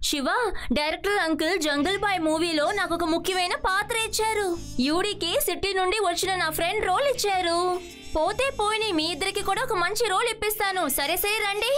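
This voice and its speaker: A woman voicing a naive, excitable, self-important little boy in a dubbed children's cartoon. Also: very high-pitched, a little fast. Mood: proud, eager. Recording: music behind the voice.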